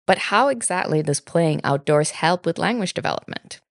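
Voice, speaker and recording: A woman from Finland in her thirties, a native Finnish speaker, co-hosting a podcast with calm, explanatory reading. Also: on the low side, normal speed, vocal fry, no room sound, clean studio sound. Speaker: podcast co-host. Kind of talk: scripted podcast narration.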